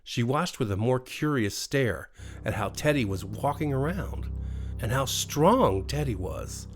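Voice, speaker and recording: A man with an American accent reading a scripted bedtime horror story in an expressive, dramatic way. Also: mid-range voice, unhurried. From USA. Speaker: storyteller, narrator. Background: faint music.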